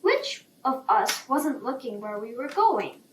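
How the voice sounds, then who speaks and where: Woman voicing a secretive, worried elephant, high-pitched. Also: sing-song, slow pace. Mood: coy. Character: worried, secretive, blustering male elephant with wrinkled trunk. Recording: roomy, distant, faint background noise.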